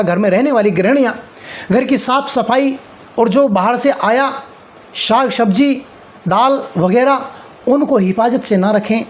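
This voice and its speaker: A man delivering a patriotic spoken address in an earnest, exhorting manner, with deliberate pauses and repeated phrases. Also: sits high. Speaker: speaker addressing viewers on a patriotic occasion.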